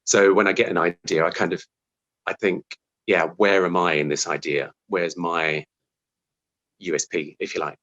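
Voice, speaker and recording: A man's voice: low voice, fairly even intonation, moderate pace, a bit bright, highs cut off. A man talking casually as an interview guest about his craft, thinking aloud and restarting his phrases as he goes.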